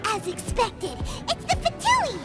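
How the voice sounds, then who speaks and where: A woman voicing a tiny, naive, chatty childlike companion sprite in a video game, with a squeaky delivery. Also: wildly swooping pitch, unhurried, loud music behind the voice, full character voice. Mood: nervous. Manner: squeaky, refers to self in third person. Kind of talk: video game character acting.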